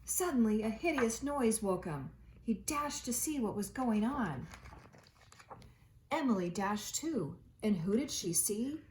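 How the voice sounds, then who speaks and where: A woman teacher reading a children's storybook aloud, with expressive delivery and dramatic emphasis. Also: on the high side, measured pace, slight background noise.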